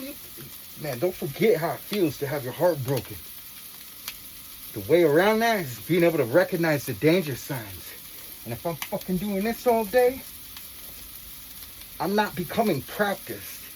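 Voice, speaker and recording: A woman's voice: sits low, a little mellow, slight background noise, low-quality recording. A woman talking casually to viewers in an earnest, reflective monologue, with slangy, profane phrasing. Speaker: vlogger.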